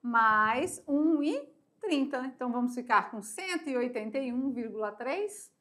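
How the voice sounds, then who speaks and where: A woman's voice: high voice, expressive, unhurried, fairly bright tone. A woman teaching a televised math lesson, upbeat and checking in with her students through frequent tag questions.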